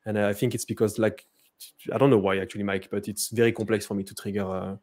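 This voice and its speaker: A man with a French accent giving a live software demo, hesitant, with many fillers and restarts. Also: on the low side, level tone, fast talker. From France. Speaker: presenter.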